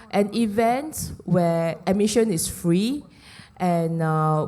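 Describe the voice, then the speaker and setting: Woman with a low-pitched voice, a steady, earnest forum guest. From Singapore. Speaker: book sale founder, forum guest. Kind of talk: press forum talk. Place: hotel function room.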